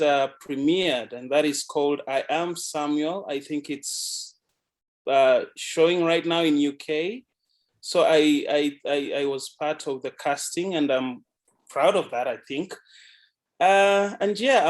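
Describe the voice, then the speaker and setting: A man with a Kenyan accent, a TV anchor and producer, introducing himself casually on a video call. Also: a little high.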